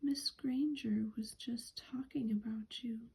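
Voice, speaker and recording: A woman voicing a mischievous male poltergeist in a slow, breathy whisper, sly and gleeful. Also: a little high, steady tone, dark tone, heavily acted, rough recording.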